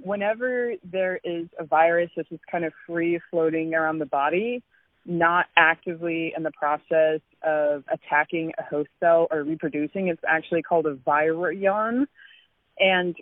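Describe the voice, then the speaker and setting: A man's voice: fairly high pitch, dry recording. Man explaining science over a phone line. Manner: animated, uses analogies. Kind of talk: casual explanation.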